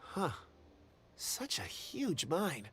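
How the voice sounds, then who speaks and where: Tiny curious game fairy, squeaky n kinda raspy, super bouncy pitch. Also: female voice, huge pitch swings, extremely slow, a bit bright, heavily acted. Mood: curious, doubtful. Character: small, childlike, curious fairy companion. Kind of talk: video game voice acting.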